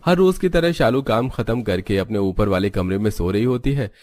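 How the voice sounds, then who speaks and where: A man's voice: lowish voice, expressive, moderate pace, darkish tone, no room sound, studio quality. A man narrating a scripted cartoon story.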